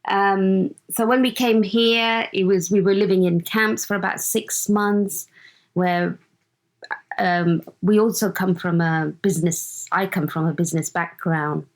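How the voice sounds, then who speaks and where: A woman's voice: medium pitch, steady tone, unhurried. A woman in her fifties, born in Uganda, chatting as a podcast guest and searching for words as she tells her story. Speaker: guest, host's mother. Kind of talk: casual conversation.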